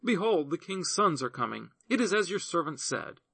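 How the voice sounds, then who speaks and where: A shrewd royal adviser steadily reassures his king, as read by a man. The voice is bright, with a gravelly edge. It is recorded dry. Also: a little high, sing-song, normal speed, dry recording, pitched above the performer's own voice, exaggerated voice. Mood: reassuring. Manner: reassuring, explanatory.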